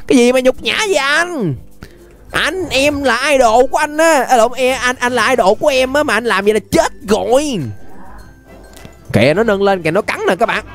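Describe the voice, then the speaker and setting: A young man with a Southern Vietnamese accent giving casual, playful, exclamatory gameplay commentary as a YouTube host. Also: extremely high pitch. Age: young adult. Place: indoor room, webcam setup.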